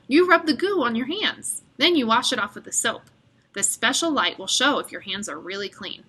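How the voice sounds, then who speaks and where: A woman's voice: on the high side, animated, normal speed, pushed and bright, husky, full character voice. A woman voicing a friendly, patient school nurse in a storybook, explaining things in an encouraging way. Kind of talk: storybook character voice in read-aloud.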